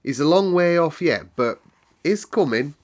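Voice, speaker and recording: A man's voice: on the high side, animated, measured pace, voice pushed up. A man voicing a cheerful, chatty young Yorkshire housemaid in broad dialect for a children's storybook. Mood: cheerful, emphatic. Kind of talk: children's storybook read-aloud.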